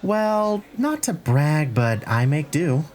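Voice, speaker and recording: An eager young dinosaur class president in a visual novel, acted by a man with a fairly high, very animated voice. He is breezy, chatty and helpful, pitching up and down freely as he talks. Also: slow pace, soft background music. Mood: casual explaining. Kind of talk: fan dub visual novel acting.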